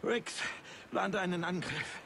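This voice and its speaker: A man playing a desperate prisoner in a video game, halting and pleading. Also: high-pitched, vocal fry, heavily acted. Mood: broken, yielding. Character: desperate male prisoner, pleading under interrogation. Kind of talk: video game voice acting, German dub. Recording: noisy battlefield ambience.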